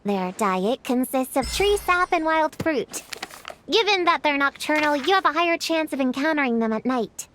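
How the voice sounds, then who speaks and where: A woman's voice: high voice, lively intonation, moderate pace, fairly bright tone, slight background noise. A woman voicing a bookish young girl in a video game, lecturing precisely and earnestly with some self-importance. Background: music.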